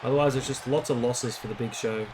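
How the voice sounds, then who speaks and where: A man's voice: medium pitch, steady tone, quick pace, crowd noise. A man giving casual, rambling commentary over a wrestling video game, recalling trivia as he plays. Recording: loud game noise behind voice. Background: wrestling game audio.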